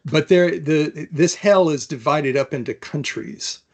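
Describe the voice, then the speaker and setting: A man, an author, talking about and reading from his own fantasy novel in a thoughtful, measured manner. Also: on the high side. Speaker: author, interview guest.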